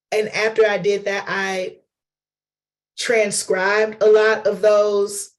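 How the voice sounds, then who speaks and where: Hoarse man speaking slowly and flatly. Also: high-pitched. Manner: chatty, loose, addresses audience as y'all and honey. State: losing voice from a cold. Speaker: lecturer and playwright. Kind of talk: informal workshop talk.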